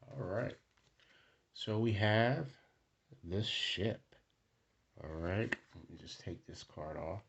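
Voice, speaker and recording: A man hosting a card reading, speaking to viewers in deliberate, formal phrasing and often checking in with a short tag question. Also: lowish voice, dull audio, a little hiss or hum. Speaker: tarot card reader and channel host.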